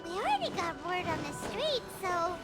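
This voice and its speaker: A woman voicing a tiny, excitable female fairy companion who talks bluntly, in game voice acting. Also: very high voice. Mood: thoughtful. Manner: blunt, excitable, quick to react. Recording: game audio under music.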